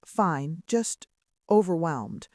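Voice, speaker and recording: A woman's voice: low voice, big pitch swings, drawn-out words, darkish tone, no room sound, studio quality, exaggerated voice. A woman voicing a guarded, weary young woman journalist in an audiobook, polite and subdued.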